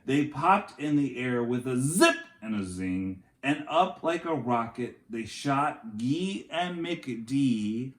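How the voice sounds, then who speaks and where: Animated man reading slowly to children, roomy sound. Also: medium pitch. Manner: animated, dramatic storytelling for young children. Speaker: teacher reading a picture book aloud to children. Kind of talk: expressive children's read-aloud with chatty asides.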